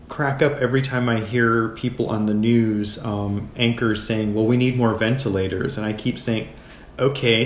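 An American man speaking as an interview guest in a measured, thoughtful way, pausing between short phrases. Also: on the low side. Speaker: podcast guest, physician. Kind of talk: reflective interview talk. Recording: roomy echo, clear background noise.

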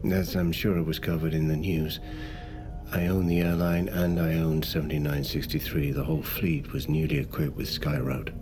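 An actor playing a wealthy middle-aged magnate, speaking formally in a measured, halting way. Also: male voice, a bass voice, level tone, music in the background. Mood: assured, explanatory. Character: wealthy, controlled, grave male business magnate. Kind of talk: naturalistic audio drama acting.

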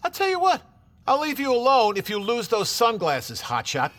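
A nagging male office colleague needles with heavy sarcasm. The voice is high, sharp and very animated, set in an audio drama with faint ambience. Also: normal speed, exaggerated voice. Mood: sarcastic, needling.

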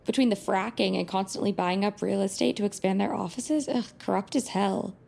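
Mean blunt high school girl, lots of creaky fry, scornful, dramatic, audio drama. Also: a little fast, darkish tone.

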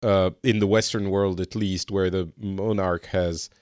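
Brief: host, man, lowish voice, dry studio sound, easygoing questioner. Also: fairly even intonation, darkish tone, dry recording. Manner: casual, quick questions and interjections. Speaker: podcast host. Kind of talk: casual current-affairs chat.

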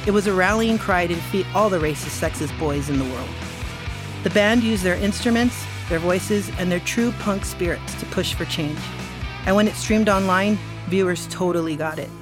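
A woman narrating a scripted children's podcast story with animated, emphatic, expressive phrasing. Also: fairly low pitch, moderate pace. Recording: music behind the voice.

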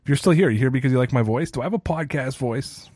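A man's voice: medium pitch, fast, darkish tone, no room sound, clean studio sound. Chatty man in his thirties ranting. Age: 30s. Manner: casual, chatty, self-deprecating. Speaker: podcast host. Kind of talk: solo sports rant podcast.

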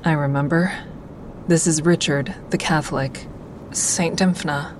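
Low-voiced, wry woman over noisy rumble. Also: steady tone. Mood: wry, watchful. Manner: measured, coaxing, dryly frank. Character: calm, wry woman taking crisis calls, narrating her thoughts. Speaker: narrator and crisis-line listener. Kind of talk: full-cast audio drama, first-person narration and dialogue.

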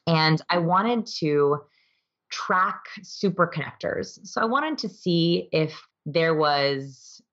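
A woman's voice: low voice, animated, takes it slowly, a bit bright, highs cut off. A woman giving conversational, explanatory interview answers as an expert guest, with emphatic delivery. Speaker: interview guest, author and behavior researcher.

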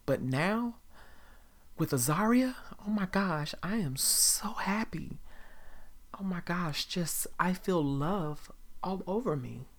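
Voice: medium pitch, lively intonation, slow pace. A performer voicing an emotional young bride who gushes breathlessly, with faint music behind. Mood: wistful.

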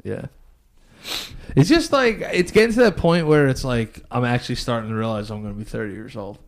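Lively, blunt thirty-year-old man. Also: mid-range voice, fairly quick. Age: 30s. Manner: blunt, crude and joking. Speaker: podcast co-host. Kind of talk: casual chat.

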